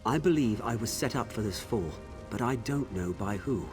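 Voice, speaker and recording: Game monologue: male actor as solemn dark elf officer in exile, lowish, heavy reverb, faint music. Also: lively intonation, lightly acted. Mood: solemn, regretful. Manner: grave, measured storytelling. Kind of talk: dramatic monologue in fantasy video game. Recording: long reverb like a ghostly message.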